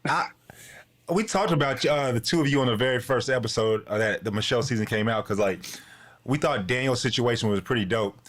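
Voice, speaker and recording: A man's voice: mid-range voice, brisk, a bit bright. A man hosting a podcast in casual chat, with a laid-back, slangy, familiar way of talking. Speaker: podcast co-host.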